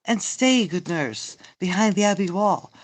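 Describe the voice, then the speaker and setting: A woman reading the part of a courteous, earnest young lover in an amateur Shakespeare play, in a halting, declamatory way. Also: mid-range voice, expressive, lightly acted. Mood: earnest, instructing. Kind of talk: amateur Shakespeare read-through.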